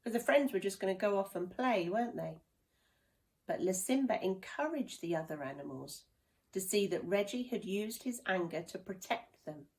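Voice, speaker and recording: A woman reading and explaining a children's story, gently asking young listeners questions. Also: mid-range voice.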